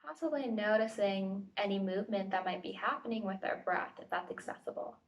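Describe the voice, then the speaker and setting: A woman facilitator leading a guided body scan meditation with gentle, tentative invitations and pauses, recorded at home. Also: mid-range voice. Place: at home, indoors. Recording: home recording, distant mic.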